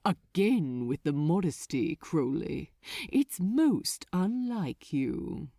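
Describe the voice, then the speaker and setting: A woman voicing a smug, seductive male archdemon in an audiobook, silky and softly menacing in a theatrical way. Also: deep voice, big pitch swings, slow pace, no echo, clean studio sound, heavily acted. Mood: smug, patronizing. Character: seductive, sinister male archdemon, smugly patronizing. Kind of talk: dramatized fan fiction audiobook reading.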